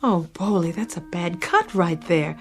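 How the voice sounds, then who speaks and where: An actress playing a gentle, caring woman, soft and concerned, in a radio drama. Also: lowish voice, lively intonation, measured pace, faint music behind. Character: gentle, caring woman worried about a hurt boxer.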